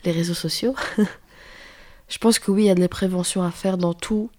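A woman's voice: on the low side, steady tone, moderate pace, darkish tone. A woman on a discussion panel, speaking tentatively and searching for her words.

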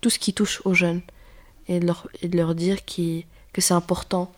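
A woman's voice: on the low side, flat delivery, moderate pace. A woman on a discussion panel, speaking tentatively and searching for her words.

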